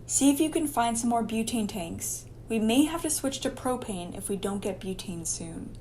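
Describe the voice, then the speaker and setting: A woman's voice: fairly high pitch, animated, moderate pace, dark tone, slight background noise, close to an everyday voice. A woman playing an anxious, careful scientist in a horror radio drama, speaking earnestly. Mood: earnest, cautioning.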